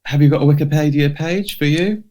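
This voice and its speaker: A man's voice: fairly high pitch, a little fast, darkish tone. A man co-hosting a podcast in casual conversation, with an inquisitive way of talking. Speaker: podcast co-host. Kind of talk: casual chat. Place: remote podcast recording.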